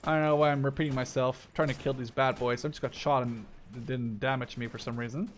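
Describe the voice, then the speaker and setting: A man's voice: mid-range voice, steady tone, fast talker, slight background noise. A man in his twenties giving casual let's play commentary, rambling and repeating himself, with casual swearing. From Netherlands. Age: 20s. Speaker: gaming video host.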